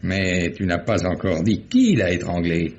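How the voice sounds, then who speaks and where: An actor playing an elderly country sheriff in a radio mystery, folksy, fatherly and steady-nerved. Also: low-pitched, measured pace. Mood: puzzled, probing. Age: older. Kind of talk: 1950s French radio mystery acting. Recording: muffled, noisy vintage radio recording.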